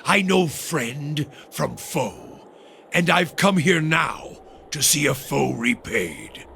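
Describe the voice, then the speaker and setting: An actor playing a bitter, defiant male veteran of a goddess's war in a video game, with a biting, expressive delivery. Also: fairly high pitch, slow, creaky, a little hiss or hum, exaggerated voice. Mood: vengeful. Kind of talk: dramatic video game dialogue.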